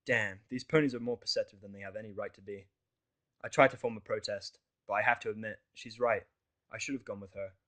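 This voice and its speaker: A man's voice: lowish voice, fairly even intonation, brisk, fairly bright tone. A man reading scripted first-person fiction as the narrator of an audio story.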